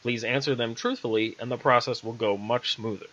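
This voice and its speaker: Talking raven assistant, dry, formal and businesslike, bright voice acted by a man, storybook. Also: mid-range voice, steady tone, brisk, dull audio, natural voice.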